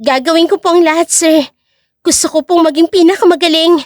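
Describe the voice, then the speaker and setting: Young female taekwondo student voiced by a woman, audio drama; high-pitched, determined, respectful, very dry sound. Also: steady tone, brisk, no echo. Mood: earnest, respectful. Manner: earnest, respectful, resolute. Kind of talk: audio drama character acting.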